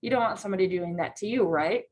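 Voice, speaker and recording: A woman's voice: medium pitch, fast, a little mellow, a little hiss or hum. A woman teaching a simple lesson, patiently reading choices aloud and checking in with her students. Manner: patient, checks in with frequent "right?" questions. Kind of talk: classroom lesson read-aloud.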